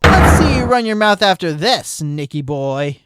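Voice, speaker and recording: A woman's voice: on the low side, very animated, measured pace, slight background noise. Lady voicing a cocky sloth guy, drawly and bouncy, all smug. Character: smug, hyper male sloth witness.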